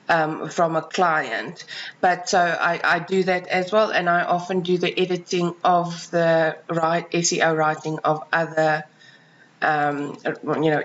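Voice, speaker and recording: A South African woman, a native Afrikaans speaker, calmly describing her freelance writing and editing work, with frequent ums and restarts. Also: lowish voice, dull audio. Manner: measured, many ums and restarts. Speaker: freelance writer and editor. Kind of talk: self-introduction to clients.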